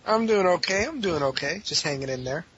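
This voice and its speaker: A man's voice: sits high, lively intonation, a little fast, a bit bright, slightly rough. A man speaking as a podcast guest in casual, informal, slangy chat. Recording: dull audio with faint noise.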